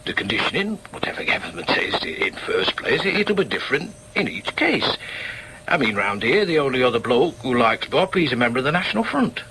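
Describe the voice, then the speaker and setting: An actor playing a proud, argumentative middle-aged socialist shop steward in a radio drama, with a Northern English accent and an emphatic, halting delivery. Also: male voice, mid-range voice, very animated, bright, forceful tone, a little hiss or hum, rough recording. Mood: combative. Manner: emphatic, halting repetitions, muttered inner asides. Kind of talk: naturalistic radio drama with inner asides.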